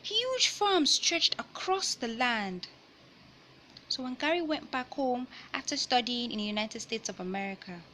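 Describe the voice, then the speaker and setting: A woman reading a children's picture book aloud in a deliberate, teacherly way, pausing to explain and repeat key points. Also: on the high side, lively intonation, pushed and bright, a little hiss or hum. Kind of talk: read-aloud storytelling with explanatory asides.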